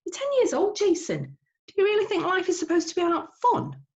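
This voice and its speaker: A woman storyteller plays a nagging father from a children's novel. He sounds coaxing and incredulous, and the voice rises high as he presses his point. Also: wildly swooping pitch, a little fast, darkish tone, highs cut off, full character voice. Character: sceptical, nagging middle-aged father, persuading his son.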